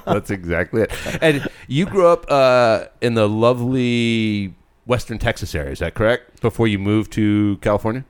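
A man's voice: on the low side, lively intonation, normal speed, smooth. A man hosting a podcast in casual, joking chat, often teasing and chuckling as he talks. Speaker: podcast host.

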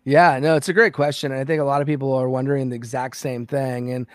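A man's voice: medium pitch, flat delivery, fast, no echo, studio quality. A man born in American Samoa and raised in Los Angeles, a podcast guest telling his career story in an earnest, reflective way. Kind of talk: conversational interview.

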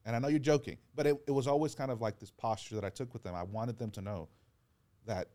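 A man, a pastor, talking earnestly in a relaxed podcast chat, telling stories and acting out what people said. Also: mid-range voice, fast. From Georgia, US. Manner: earnest, encouraging storyteller who acts out quotes. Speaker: pastor and guest. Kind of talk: casual podcast conversation.

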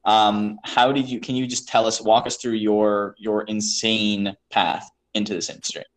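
A man's voice: lowish voice, level tone, moderate pace, poor audio. Rambling male podcast host. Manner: warm, rambling, many fillers and restarts. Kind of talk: casual interview chat.

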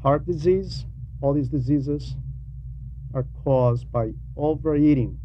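A man giving a sermon in a halting, explanatory and admonishing way, with conversational asides. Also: on the high side, dark tone. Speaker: preacher reading a translated homily. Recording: dull lo-fi recording with faint noise.

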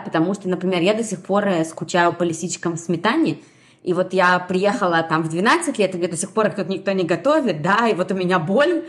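Woman co-host, mid-range voice, quick chatty delivery. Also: mid-range voice. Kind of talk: casual chat.

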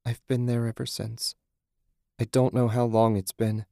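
A man's voice: mid-range voice, little pitch movement, measured pace, gravelly, warm tone, no room sound, clean studio sound. A man voicing a self-loathing, beaten-down mother in an audiobook, confessing and pleading. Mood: broken, ashamed. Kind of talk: audiobook character acting.

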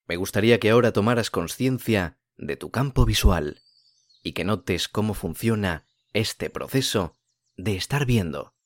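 Guy w a deep voice doing guided meditation, super calm n slow, lots of pauses, dry recording. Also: no room sound. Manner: calm, soothing, unhurried with long pauses. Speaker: podcast host and meditation guide.

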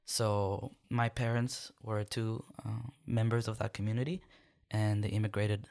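Young adult man, podcast guest, low voice, careful and thoughtful delivery. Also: flat delivery, darkish tone. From Sudan. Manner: thoughtful, measured, many pauses and fillers. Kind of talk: conversational interview answers.

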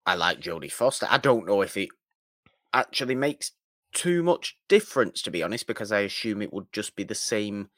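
A man's voice: fairly low pitch, very animated, normal speed, a bit bright, dry recording, clean studio sound. A man co-hosting a podcast, talking casually about film and repeating his points for emphasis. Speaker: podcast co-host.

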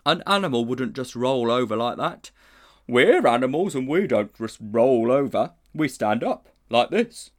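A man's voice: mid-range voice, lively intonation, unhurried, close to an everyday voice. A man voicing a doubtful, blustering bear in a storybook reading.

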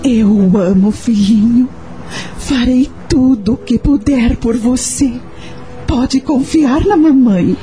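An actress playing an anguished, devoted young mother in a radio soap opera, speaking with earnest emotion and a husky voice. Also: a little high, slow, dark tone, faint music behind. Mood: tender.

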